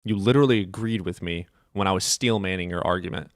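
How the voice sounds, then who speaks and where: A man's voice: low voice, quick pace, dry recording, studio quality. Blunt, impatient male debate host. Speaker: live stream debate host. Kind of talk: combative debate.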